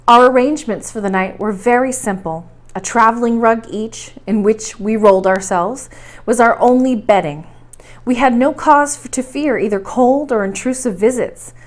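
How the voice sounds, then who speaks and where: A woman reading a story aloud in expressive, scripted narration. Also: a little high.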